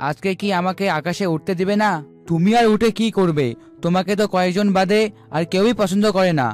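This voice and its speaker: A man's voice: high-pitched, fairly quick, a little mellow, soft background music, natural voice. A man voicing a proud, bickering talking sun or moon in a cartoon fable. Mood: boastful bickering.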